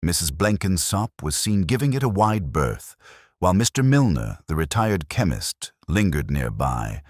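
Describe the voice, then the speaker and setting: A man with a very deep voice narrates a mystery story. His delivery is measured and deliberate, yet expressive in pitch, with a dry, close sound. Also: husky, no room sound.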